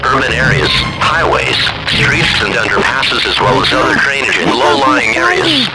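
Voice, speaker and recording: Guy doing emergency weather alert, robotic flat reading, formal, loud music behind. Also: medium pitch, normal speed, rough recording. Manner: flat, mechanical, list-like delivery. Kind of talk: formal emergency broadcast warning.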